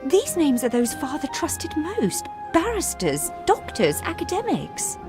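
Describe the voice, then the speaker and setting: An actress playing an earnest, devoted young sister and adviser in a video game. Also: female voice, high-pitched, wildly swooping pitch, a little slow, music in the background, heavily acted. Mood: fervent.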